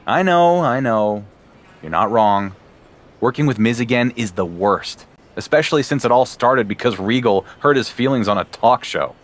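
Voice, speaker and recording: An actor playing a young champion wrestler who talks in a dry, sarcastic way. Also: male voice, mid-range voice, expressive, moderate pace, close to an everyday voice.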